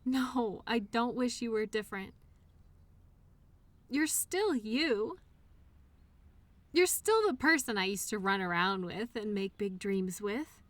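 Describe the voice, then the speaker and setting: A loyal imaginary friend from childhood, acted by a woman in an intimate audio roleplay. The voice sits fairly high and is recorded close and dry. The delivery is earnest and tender, full of gentle reassurance. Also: animated, takes it slowly, long pauses, no echo. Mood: gentle, reassuring. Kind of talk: one-sided audio roleplay, intimate scripted conversation.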